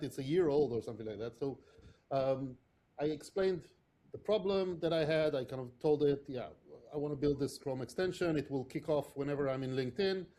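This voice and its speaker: A middle-aged man answers interview questions as a product leader. His voice sits a little high but sounds warm and mellow. He talks thoughtfully and often restarts his sentences or fills pauses. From Israel. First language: not English. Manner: thoughtful, many restarts and fillers. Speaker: podcast guest, product leader.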